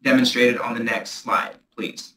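A man gives a formal staff presentation to a board over a video call. His bright voice rings in an echoey room with faint noise behind it, and he speaks in a measured, procedural way. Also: medium pitch, little pitch movement. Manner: measured, procedural, restarts often. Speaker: transit agency staff presenter.

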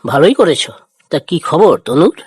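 Woman as a low, husky village father. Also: very animated, moderate pace, darkish tone, dry recording, studio quality, heavily acted. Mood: curious. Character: calm, responsible village farmer father. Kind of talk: children's cartoon dialogue.

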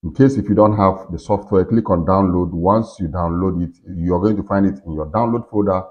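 A man in his forties hosting a casual software walkthrough, talking himself through each step. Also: deep voice, fairly quick. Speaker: tutorial host. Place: indoors in a furnished room. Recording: slightly dull, lo-fi sound.

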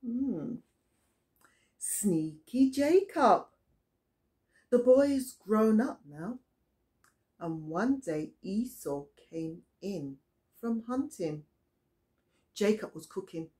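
A middle-aged woman reads a Bible story aloud for young children. Her pace is slow and deliberate, and her pitch moves in lively swings as she tells it expressively. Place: small indoor room with soft toys.

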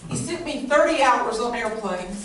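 A woman speaks to a church gathering in short sentences, pausing for an interpreter. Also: on the high side, expressive, background noise. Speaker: guest speaker at a church meeting. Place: church hall. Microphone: handheld mic. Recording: echoey hall, taken from far off with people around.

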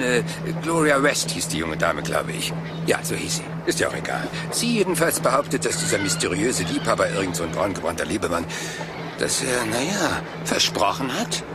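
Rambling, lively-pitched police inspector. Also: male voice. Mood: feigned casualness. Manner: hesitant, meandering, deceptively apologetic. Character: rumpled, polite, probing police inspector, seemingly absent-minded. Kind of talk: German crime radio drama acting. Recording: music behind the voice.

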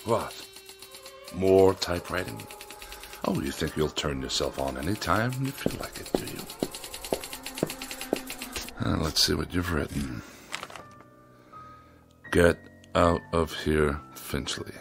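A pompous, irascible man of letters in a radio drama. His pitch swings widely as he delivers florid, sarcastic lines with theatrical disdain. Faint music plays behind him. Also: lively intonation, slow, vocal fry, long pauses, exaggerated voice. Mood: defiant, furious. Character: pompous, paranoid, irascible middle-aged male writer who hates machines.

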